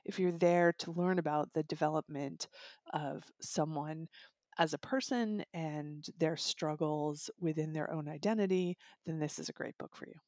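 A woman's voice: low voice, fairly even intonation, normal speed, a little mellow, no room sound, studio quality. A woman giving a conversational book review as part of a discussion. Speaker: audiobook reviewer and podcast guest.

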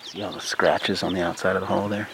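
A man with a voice a little on the low side speaks as a researcher. He explains his fieldwork in informal interview talk. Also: little pitch movement, fast, creaky, a bit bright, a little hiss or hum.

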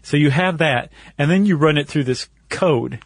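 A man's voice: on the high side, normal speed, no room sound. Casual man explaining, close dry sound. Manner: informal, slangy, explaining step by step. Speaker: podcast co-host.

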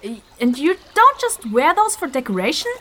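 A woman's voice: high-pitched, very animated, normal speed, fairly bright tone. A woman playing a naive, curious and playful young girl in a fantasy audio drama, talking casually and impulsively.

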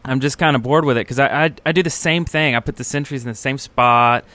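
Clear-voiced man in casual podcast chat. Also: mid-range voice, brisk, bright, forceful tone. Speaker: podcast co-host.

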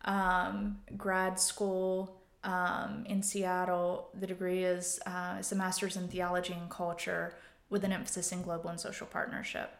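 A woman's voice: lowish voice, completely flat delivery, a little slow. A woman in her thirties talking casually and reflectively as an interview guest, in an informal way. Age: 30s. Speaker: guest, photographer. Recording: roomy, echoey sound.

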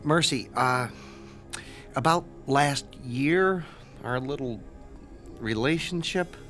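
A man playing a nervous, ingratiating school guidance counselor in his twenties in an audio drama, speaking haltingly. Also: medium pitch, a bit bright, faint music behind. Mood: nervous probing. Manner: hesitant, ingratiating, halting with ums.

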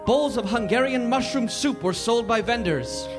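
A man narrates a mock-serious history with pompous, deadpan gravity. His voice sits very high for a man. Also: normal speed, music in the background. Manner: pompous, deadpan, scolding interruptions. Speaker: storyteller.

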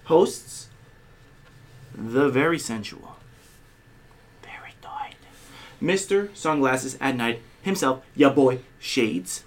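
A man co-hosting a casual podcast, bantering playfully, with a slight tickle in his throat that keeps making him clear it. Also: medium pitch, expressive, takes it slowly, pauses often. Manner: playful, boastful self-introductions. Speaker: podcast co-host.

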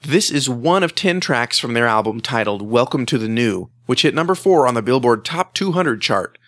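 A man's voice: medium pitch, expressive, moderate pace, a bit bright, dry recording, clean studio sound. A man hosting a music magazine show, speaking as presenter and interviewer. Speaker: host and interviewer.

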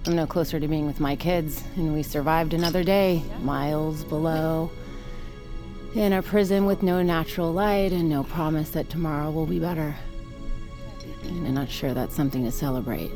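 Sardonic, world-weary woman, slightly low pitch, dry delivery for fiction podcast drama. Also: steady tone, normal speed, music in the background. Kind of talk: naturalistic fiction podcast acting.

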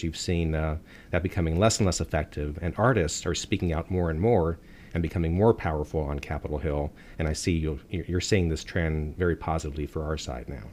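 Very deep-voiced man, firm and matter-of-fact. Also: darkish tone, slight background noise. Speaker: guest on a music industry show. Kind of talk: arguing a case in a radio discussion.

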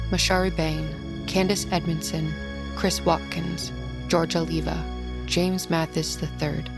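A woman reading scripted production credits as an announcer. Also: lowish voice.

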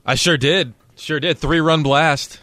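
Bright, crisp-edged man on sports radio. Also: a little high, fairly even intonation, unhurried, a little hiss or hum. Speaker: sports radio show crew member. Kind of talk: casual sports talk banter. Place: dry radio studio.

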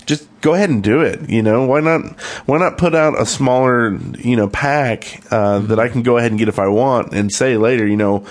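A man's voice: medium pitch, normal speed, hardly any pauses. A man speaking casually and with opinions as a co-host on a gaming podcast.

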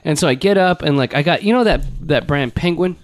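Rambling, joking podcast host. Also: male voice, a little high, brisk, no echo. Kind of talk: casual podcast chat.

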